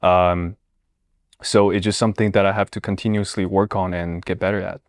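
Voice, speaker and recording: A man's voice: low-pitched, little pitch movement, fairly quick. A man from China with a Chinese accent and Mandarin as his first language, hosting a playful casual chat and teasing his guest. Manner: teasing, joking. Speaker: podcast host.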